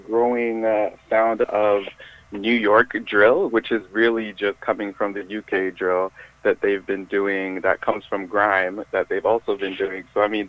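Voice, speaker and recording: A man's voice: on the low side, no room sound. A man from Jamaica, a musician guest, chatting loosely in an interview and filling with lots of "like" and restarts. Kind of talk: casual interview chat. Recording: tinny dry phone line.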